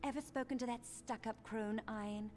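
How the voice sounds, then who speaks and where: A woman's voice: on the high side, steady tone, moderate pace, a little mellow, lightly acted. A woman playing a teasing, irreverent fighters' guild member in a fantasy game, with a playful manner. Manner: playful, mock-threatening. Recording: game audio, faint music underneath.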